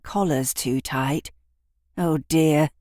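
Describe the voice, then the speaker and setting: A woman's voice: sits low, slow pace, darkish tone, dry recording, studio quality. A woman narrating a scripted audio drama, with wry, conversational asides.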